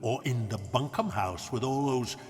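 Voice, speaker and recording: An actor playing a kindly, muddled big friendly giant in a children's audio drama, chuckling and scolding gently in garbled grammar. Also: male voice, medium pitch, moderate pace, in a large hall, close to an everyday voice. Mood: explaining, emphatic. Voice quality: wordy, garbled grammar with mangled made-up words.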